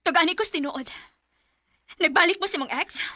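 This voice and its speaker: A generous young woman devoted to love, in a Bisaya radio drama. Her voice is high and sharply bright, with a Filipino Bisaya accent. She speaks with open, earnest emotion. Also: moderate pace, dry recording, studio quality. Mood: hurt, upset. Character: generous lovestruck young woman, giving everything to boyfriends.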